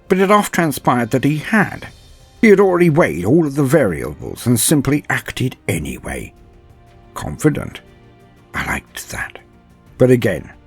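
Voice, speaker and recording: A man playing an aging, stern inquisitor and mentor in a narrative audio drama, speaking in a brooding, deliberate way with halting, emphatic phrases and a gravelly, husky voice. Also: low-pitched, full character voice. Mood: proud, intense. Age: older. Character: aging, weary, stern inquisitor mentor recounting his apprentice. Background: music under the voice.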